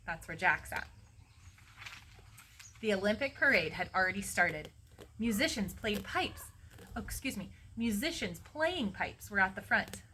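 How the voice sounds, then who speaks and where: A middle-aged woman reading a storybook expressively to children, pausing to explain things in a teacherly way. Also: medium pitch, animated, pushed and bright, slight background noise. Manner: teacherly, explains and prompts listeners. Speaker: read-aloud storyteller for children. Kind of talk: expressive storybook reading with asides to young listeners.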